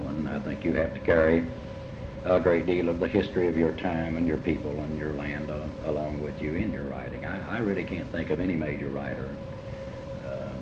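Deep-voiced Appalachian man, muffled noisy recording. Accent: Appalachian American. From Ohio River and Big Sandy region of Appalachia, USA. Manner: halting, deliberate, repeats phrases. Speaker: writer being interviewed. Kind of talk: reflective interview talk. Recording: muffled, echoey, noisy old interview tape.

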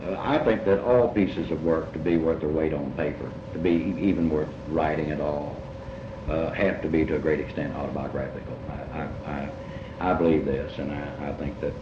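Deep-voiced Appalachian man, muffled noisy recording. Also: steady tone. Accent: Appalachian American. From Ohio River and Big Sandy region of Appalachia, USA. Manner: halting, deliberate, repeats phrases. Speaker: writer being interviewed. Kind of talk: reflective interview talk. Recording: muffled, echoey, noisy old interview tape.

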